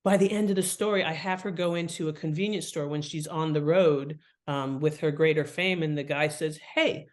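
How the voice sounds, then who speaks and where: A woman's voice: low voice, moderate pace, hardly any pauses. Female guest author, conversational interview delivery, instructive, example-led. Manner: instructive, illustrates points with examples. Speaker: guest author and writing teacher.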